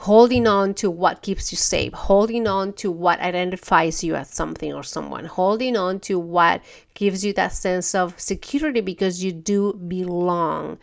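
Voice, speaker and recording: A woman with a fairly low voice and plenty of creaky fry hosts a podcast in a loose, casual monologue. She sounds stuffy from a cold and talks in an earnest, gentle way, often restarting her sentences. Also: normal speed. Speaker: podcast host.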